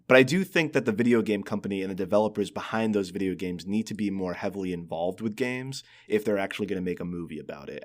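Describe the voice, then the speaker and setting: A man co-hosting a podcast, chatting casually about games and often repeating words as he works out his opinions. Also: deep voice. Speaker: podcast co-host.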